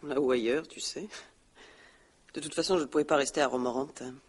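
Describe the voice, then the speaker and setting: A woman's voice: a bass voice, brisk, a little mellow, slightly rough. An actress playing a lonely young woman in her late twenties, in a 1960s radio drama. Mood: subdued. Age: late 20s.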